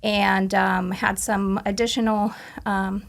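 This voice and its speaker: A woman in her forties, originally from Argentina and a native Spanish speaker, talks as a guest in a relaxed interview. Her voice sits at a middling pitch with a gravelly fry to it. She pauses often with ums and uhs. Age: 40s. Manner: halting, lots of ums and uhs, light laughs. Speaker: guest, patient. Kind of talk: casual interview chat.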